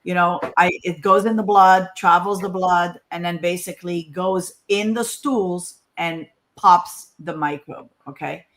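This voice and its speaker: A woman's voice: lowish voice, fairly even intonation, measured pace, hardly any pauses, low-quality recording. A woman from Morocco speaking as a scientist in an informal talk, emphatic and conversational, often asking rhetorical questions. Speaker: scientist giving a conference talk.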